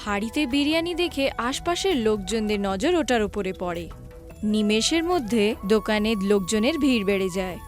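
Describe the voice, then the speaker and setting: A woman's voice: mid-range voice, animated, normal speed, soft background music. A woman giving scripted storybook narration for a cartoon.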